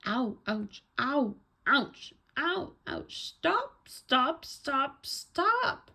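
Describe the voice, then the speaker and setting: A woman takes the part of an unflappable, practical dad in a children's storybook. The voice is husky and very creaky, speaking plainly to the kids. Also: fairly low pitch, big pitch swings, moderate pace, darkish tone, exaggerated voice. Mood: yelling. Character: easygoing, practical father of two young girls.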